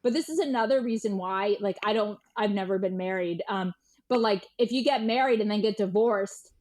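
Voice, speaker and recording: An American woman chatting informally as an interview guest, animated and peppering her talk with "like" and quoted dialogue. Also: medium pitch, a little fast. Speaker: podcast guest, journalist and historian. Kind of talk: casual interview chat.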